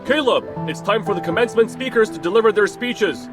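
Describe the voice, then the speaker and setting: A woman's voice: mid-range voice, expressive, fairly quick, lightly acted. A woman voicing a student classmate who calls out urgently, as if from afar, in a romance game fan dub. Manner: raised, calling out. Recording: music behind the voice.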